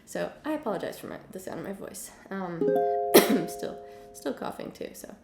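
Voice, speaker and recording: Hoarse young woman, gravelly and roomy. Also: mid-range voice, normal speed, over loud music. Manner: relaxed chatty with many ums, coughs now and then. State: hoarse from illness. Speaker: music teacher. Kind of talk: casual online lesson. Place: home room with piano and guitars.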